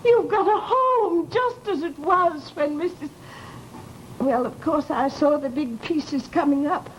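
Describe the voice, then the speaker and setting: An American actress playing a chatty, nosy, easily offended landlady in an old-time radio horror drama, with folksy, exclamatory speech. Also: high-pitched. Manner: gossipy, folksy, exclamatory, huffy when slighted. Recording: noisy old radio recording.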